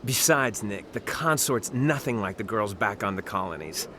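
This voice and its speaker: An actor playing a young, eager army private in a video game, awkward and easily flustered. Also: male voice, lowish voice, animated, normal speed, pushed and bright, a little hiss or hum. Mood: teasing. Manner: stammering, flustered. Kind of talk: video game dialogue acting.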